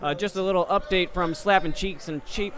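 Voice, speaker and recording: A man with a slightly raised pitch chimes in as co-commentator. He teases his partner and adds quick observations on the action. His delivery is relaxed and chatty. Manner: joking, chatty color commentary. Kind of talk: live sports commentary.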